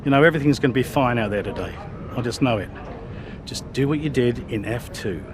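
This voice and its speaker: A man's voice: mid-range voice, animated, brisk, background noise, lightly acted. An actor playing a reassuring male team manager in a game cutscene.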